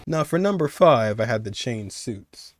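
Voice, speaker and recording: A man's voice: medium pitch, lively intonation, measured pace, faint music behind. A man giving casual, slangy, conversational commentary as host of a video game countdown. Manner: slangy, conversational, chuckling asides.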